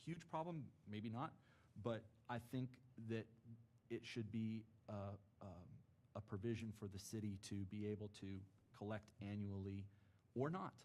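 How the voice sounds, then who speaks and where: Earnest halting man at a meeting. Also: lowish voice, dark tone. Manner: halting, many restarts, earnest. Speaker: board member. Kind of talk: public meeting discussion. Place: meeting chamber.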